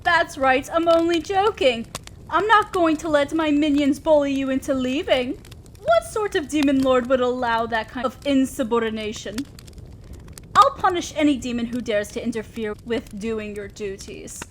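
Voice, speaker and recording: A commanding female demon boss, acted by a woman, with a high voice and a theatrical, imperious delivery. She scolds and teases like a ruler who still protects her own. The audio roleplay has faint ambient noise behind it. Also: moderate pace, fairly bright tone. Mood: teasing, amused. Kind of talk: scripted audio roleplay addressed to the listener.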